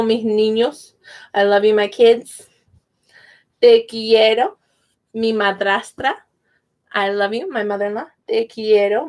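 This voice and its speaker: A woman's voice: on the high side, fairly bright tone. A young woman teaching a casual spoken lesson, chatty and prone to tangents, laughing often. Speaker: Spanish teacher.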